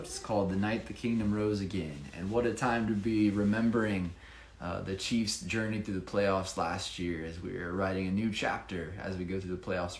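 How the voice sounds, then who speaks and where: A man, a children's book author, reading a rhyming picture book aloud with dramatic emphasis and informal asides. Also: on the low side. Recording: echoey room, faint background noise.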